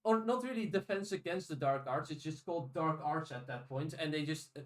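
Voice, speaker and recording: A man co-hosting a podcast in a casual discussion, speaking haltingly with restarted phrases and casual swearing. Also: on the high side. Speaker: podcast co-host. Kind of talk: casual discussion of audiobooks and fiction. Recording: roomy echo, distant from microphone.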